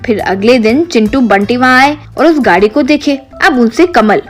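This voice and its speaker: A woman's voice: fairly high pitch, lively intonation, moderate pace, soft background music. A woman narrator reading a scripted story.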